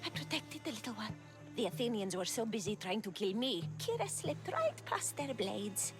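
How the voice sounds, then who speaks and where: An actress playing an older woman servant who speaks cryptically, telling old secrets, over loud game music. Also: on the high side, very animated, moderate pace. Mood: grave. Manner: cryptic, storytelling.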